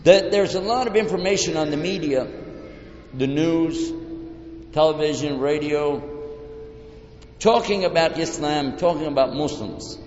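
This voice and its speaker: A man's voice: fairly high pitch, unhurried, long pauses, a little mellow. A man from Texas with a Texan accent, giving a joking, folksy talk to a live audience in a large hall. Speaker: lecturer. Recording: echoey hall, dull lo-fi sound.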